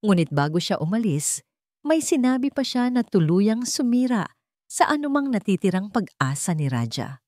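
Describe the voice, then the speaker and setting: A woman telling a scripted story in steady, measured narration. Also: medium pitch, no room sound, studio quality.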